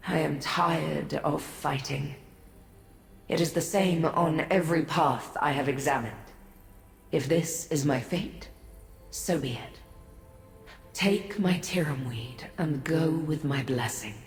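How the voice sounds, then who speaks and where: An otherworldly, weary goddess from a fantasy game, regal and mysterious. Her low voice moves very slowly and formally through a long echoing reverb, over faint ambience. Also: faint music behind. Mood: resigned. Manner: measured, formal, grave. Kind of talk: scripted fantasy game dialogue. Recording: echoey reverb, faint noise.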